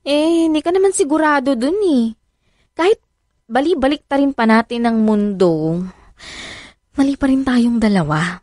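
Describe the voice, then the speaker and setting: A woman's voice: sits high, expressive, moderate pace, pauses often, darkish tone, dry recording. An actress with a Filipino accent playing an affectionate, anxious single mother in a radio drama, coaxing and conversational. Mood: worried.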